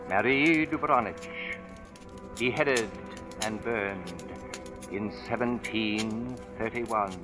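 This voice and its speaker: A pompous, silky crime writer in an old radio drama. His voice sits low as he spins the tale with florid, theatrical care. Music runs clearly behind him on the vintage recording. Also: slow pace. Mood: grave suspense. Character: suave, theatrical male crime writer and storyteller. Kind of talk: 1940s radio drama narration.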